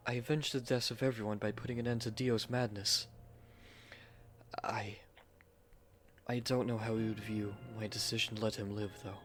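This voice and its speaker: A man playing an earnest, tender gentleman newlywed in a romantic audio drama, soft-spoken and hesitant, with a mournful sincerity. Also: fairly low pitch, level tone, normal speed, long pauses, faint music behind, close to an everyday voice. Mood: wistful, earnest.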